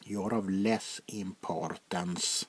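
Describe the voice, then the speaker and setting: A man playing a haughty, centuries-old French vampire prince in a soft-spoken, half-whispered ASMR roleplay, speaking deliberately and with condescension. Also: low-pitched, steady tone, heavily acted. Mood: condescending, menacing. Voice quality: gravelly, breathy half-whisper. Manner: slow, deliberate, condescending, drawn-out pauses.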